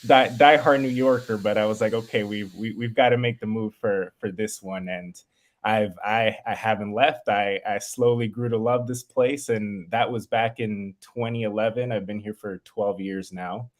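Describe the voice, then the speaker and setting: A man's voice: lowish voice, fairly even intonation, normal speed. A man in his thirties from New York City, a physician talking about his career in a friendly, eager way, often repeating words as he restarts. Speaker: infectious disease physician, guest. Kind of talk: casual interview.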